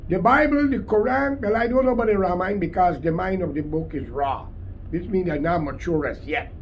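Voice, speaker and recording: A man's voice: high voice, a little fast, rough recording. An older man in his sixties with a Guyanese accent, giving a combative, emphatic unscripted political monologue to camera. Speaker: political commentator. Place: small cluttered room indoors. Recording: clear background room noise.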